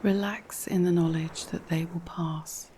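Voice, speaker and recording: A woman narrates a guided relaxation in a slightly low, mellow voice. Her delivery is very slow, calm and measured, with a soft breathiness as she gives instruction. There is faint noise behind her.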